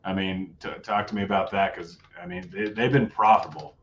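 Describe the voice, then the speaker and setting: A man's voice: low voice, fairly even intonation, a little fast, a bit bright, highs cut off, a little hiss or hum. A man hosting a casual sports betting show, talking through his picks in a laid-back way, his voice a bit worn. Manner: laid-back, rattles off odds. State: losing his voice.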